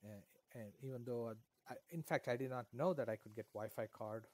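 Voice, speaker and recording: A man's voice: medium pitch, brisk. A middle-aged man from India whose first language is Kannada, answering interview questions at length with many fillers and restarts. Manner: rambling, with frequent fillers and restarts. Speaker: author guest.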